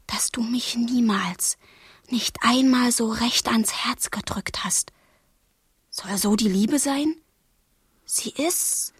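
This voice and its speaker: An actress playing a passionate, wilful thirteen-year-old girl in love, in a literary radio play, with a breathy, husky, intense delivery. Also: a little high, slow, pauses often, no echo, exaggerated voice. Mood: yearning, reproachful. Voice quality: breathy, husky, often near a whisper. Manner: intense, demanding, dramatic delivery.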